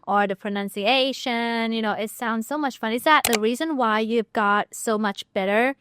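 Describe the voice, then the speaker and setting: A woman host with a Thai accent, asking gently leading interview questions. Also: a little high, moderate pace, background noise. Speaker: host, English teacher.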